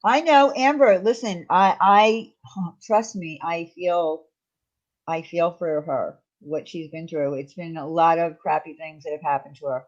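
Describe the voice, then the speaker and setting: A woman's voice: on the low side, fairly even intonation, measured pace, highs cut off. A woman hosting a livestream, chatting casually with viewers and responding to their messages. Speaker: livestream host. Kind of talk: casual chat with live viewers.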